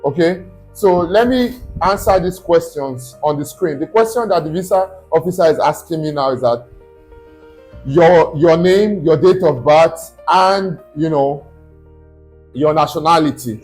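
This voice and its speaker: A man's voice: a little high, measured pace, darkish tone, faint music behind. A man, a study abroad consultant, walks viewers through a screen-recorded tutorial step by step and keeps checking in with "okay?".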